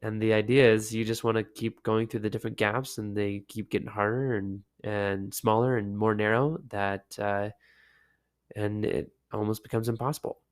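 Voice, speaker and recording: A man hosting a casual solo podcast, sick with a lowered, deep voice, talking in a relaxed, rambling way with many fillers. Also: moderate pace, clean tone. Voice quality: deep, low voice. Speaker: podcast host.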